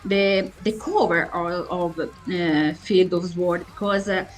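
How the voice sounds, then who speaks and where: Slow, halting woman interviewer. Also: on the low side. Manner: halting, searching for words in non-fluent speech. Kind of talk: interview questions.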